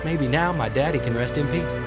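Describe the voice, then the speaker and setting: A man's voice: fairly high pitch, fairly quick, loud music behind the voice, natural voice. A man playing a smug, defiant oil refinery boss in an audio drama, sardonic and taunting. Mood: bitter, triumphant.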